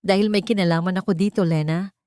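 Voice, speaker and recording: A woman narrator voices an earnest, protective man in a romantic drama story. The delivery is direct and insistent, in a lowish voice with a very dry sound. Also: steady tone, fast, warm tone, no echo, natural voice. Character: earnest, protective adult man, a repentant father.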